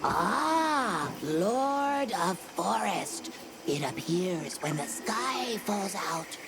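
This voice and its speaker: A tiny forest creature chatters in quaint, broken phrases. The delivery is unhurried and highly animated, eager and whimsical, like a game fantasy folk. Music plays clearly behind. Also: female voice, exaggerated voice. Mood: reverent. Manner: quaint broken grammar with invented words. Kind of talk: video game cutscene acting.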